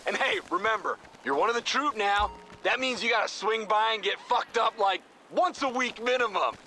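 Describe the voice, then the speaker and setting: An actor voicing an excitable, foul-mouthed stoner gang leader in a video game, ranting exuberantly. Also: male voice, high-pitched, normal speed, soft background music. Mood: hyped. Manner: exuberant, crude, hyped-up ranting. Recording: loud vehicle noise behind.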